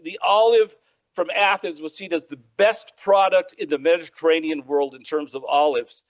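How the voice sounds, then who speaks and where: A man lecturing on history with a loud, projecting voice, often checking in with "all right?". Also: high voice, low-quality recording. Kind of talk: public lecture. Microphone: microphone.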